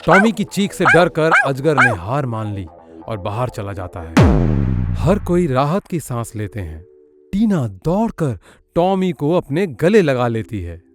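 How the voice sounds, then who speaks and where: Animated male cartoon story narrator. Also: mid-range voice, measured pace, over loud music.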